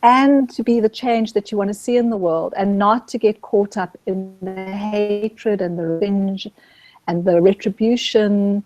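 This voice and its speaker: A middle-aged woman from Zambia, a guest speaking gently and reflectively about her spiritual search, often pausing to restart her thought. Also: mid-range voice, fairly even intonation, no echo, muffled recording, soft background music. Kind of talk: reflective spiritual interview talk.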